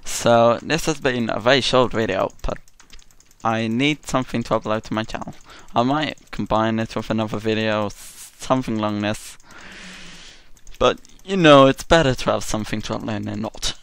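A young man with a British accent giving chatty, excitable commentary while playing a game at his computer. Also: lowish voice, moderate pace, creaky, clean tone, dry recording. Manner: chatty, excitable, self-mocking. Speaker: gaming channel host. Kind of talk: casual gameplay commentary.

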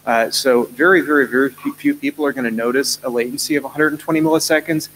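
A man in his thirties with a New Zealand accent, giving a clear technical conference talk from a lectern, with frequent "uh" fillers. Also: medium pitch, fast talker, a bit bright, slight background noise, rough recording. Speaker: conference speaker. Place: large conference hall, at a lectern.

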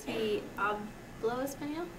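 Hesitant girl student, fairly high voice. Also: noisy background. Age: teen student. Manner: hesitant, halting, giggly learner. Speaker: language student. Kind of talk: beginner Spanish oral exam answers. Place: small wood-paneled school room.

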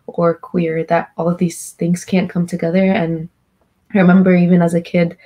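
A young woman from Egypt speaks in a low, mellow voice with a flat, even delivery. She talks earnestly and reflectively as a panelist. It is recorded on a laptop webcam in a small room. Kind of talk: panel discussion on video call. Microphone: laptop webcam video call.

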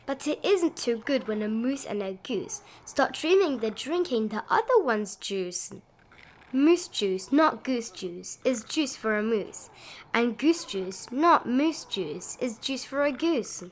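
Female storybook narrator, highish voice, sing-song rhymes for children, some background noise on the recording. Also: lively intonation, takes it slowly. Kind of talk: expressive read-aloud of a rhyming children's bedtime picture book.

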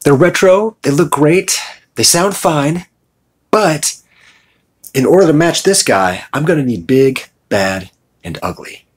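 A man's voice: on the high side, lively intonation, takes it slowly, breathy edge. A middle-aged American man talking informally and conversationally in a casual show-and-tell vlog. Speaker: video host.

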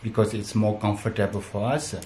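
A man in his thirties from Tibet, speaking as a non-native with a Tibetan accent, chatting casually and haltingly as a family vlogger and repeating himself. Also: fairly low pitch, flat delivery, warm tone. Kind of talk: casual vlog chat. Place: small bright room at home. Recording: echoey room, faint background noise.

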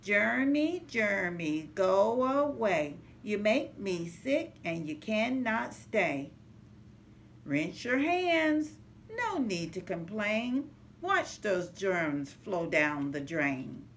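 A middle-aged woman reading a children's picture book aloud in a measured, sing-song rhyming way. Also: mid-range voice, very animated. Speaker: early childhood center manager reading to children. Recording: noticeable background noise, home recording.

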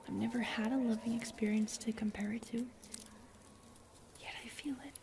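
Woman voicing a whispery, gentle, lonely forest spirit. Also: a little high, fairly even intonation, a little hiss or hum. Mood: lonely, wistful. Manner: soft, breathy, slow and wistful, often whispering. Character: gentle, lonely, eerie female forest spirit made of branches. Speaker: ASMR roleplay performer. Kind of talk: whispered ASMR roleplay.

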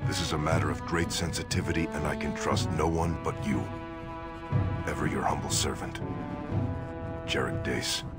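An actor playing a grave, formal dwarven nobleman narrating a plea for help in a solemn, measured way for a fantasy game. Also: rumbling low, steady tone. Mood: earnest, grave. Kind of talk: scripted narration. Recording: loud music under the voice. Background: music.

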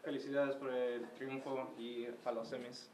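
A man's voice: medium pitch, level tone, unhurried. A man working as a reporter, putting post-match questions hesitantly, with little tag questions, in a noisy recording. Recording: clearly noisy room recording.

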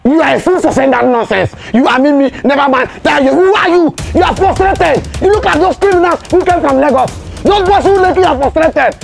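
A man acting as a loud, self-important, quarrelsome Nigerian compound caretaker in a comedic film, bossy, repetitive and insulting. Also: extremely high pitch, a little fast, vocal fry. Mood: scornful, pompous.

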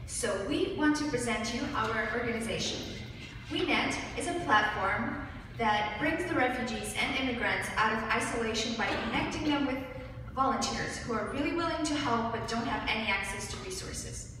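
A woman's voice: on the high side, animated, normal speed. Young woman presenting in a very echoey hall. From Ukraine. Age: young adult. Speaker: student presenter. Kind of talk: rehearsed group pitch presentation. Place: large hall with seated audience. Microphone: far audience camera. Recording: echoey hall from far off, room noise.

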